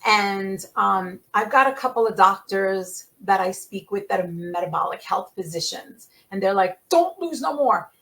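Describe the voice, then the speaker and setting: A middle-aged American woman who grew up speaking an Italian dialect, talking as a podcast guest, animated and emphatic with frequent laughs. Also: medium pitch, moderate pace, gravelly. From United States. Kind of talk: casual conversation.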